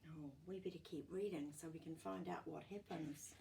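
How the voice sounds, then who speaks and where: A woman reading a picture book aloud to children, expressive and exclamatory, with chatty asides to her young listeners. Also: deep voice, moderate pace, vocal fry, distant mic, slight background noise, rough recording. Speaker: children's storyteller.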